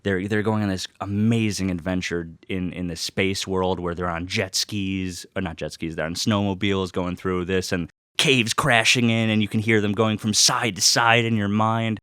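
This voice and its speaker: Deep-voiced man rambling casually, close and dry. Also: steady tone, moderate pace, hardly any pauses, bright, forceful tone, smooth, dry recording. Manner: rambling, self-interrupting. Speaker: host of a personal vlog. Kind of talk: unscripted casual solo talk. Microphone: close personal microphone.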